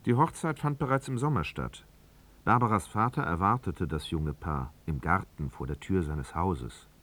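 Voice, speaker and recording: A man narrating a literary radio drama in a measured, articulate storytelling manner. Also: sits low, lively intonation, a bit bright, soft background music.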